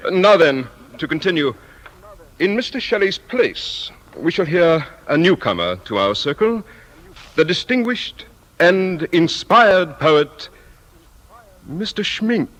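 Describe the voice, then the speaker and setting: An actor in a 1950s satirical radio play playing a polite, pompous poetry-circle host, formal, obsequious and easily flustered. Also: male voice, high voice, sing-song, slow, long pauses, fairly bright tone, heavily acted, low-quality recording. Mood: formal, embarrassed.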